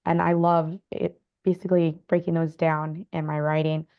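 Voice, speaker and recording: Creaky, lowish-voiced woman on dull audio. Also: little pitch movement, normal speed. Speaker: panel guest, novelist. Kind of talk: casual personal storytelling.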